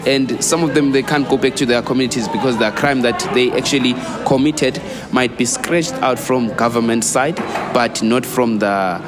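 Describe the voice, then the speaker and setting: A South African man talking as a guest in a casual interview, wandering through his points with frequent "you know" and restarts. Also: mid-range voice. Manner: rambling, fills pauses with "you know" and restarts. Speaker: guest, student volunteer.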